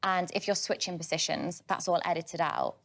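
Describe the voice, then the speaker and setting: A woman in her twenties explains herself in an interview. She speaks in a matter-of-fact way, and her voice sits a little low for a woman. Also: moderate pace, vocal fry, fairly bright tone. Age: 20s. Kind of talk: interview answers.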